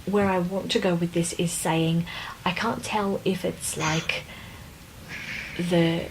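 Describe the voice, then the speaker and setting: Woman podcast co-host, slightly low voice, hesitant and casual, faint background noise. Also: steady tone, slow, poor audio. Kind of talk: casual book discussion.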